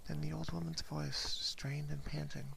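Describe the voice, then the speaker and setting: A man reading a story aloud in a breathy, partly whispered ASMR style, very close to a binaural microphone. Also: fairly high pitch, little pitch movement, unhurried. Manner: hushed, slips and corrects words while reading. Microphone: close binaural ear microphone. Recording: close binaural mic with clear background noise. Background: rain ambience.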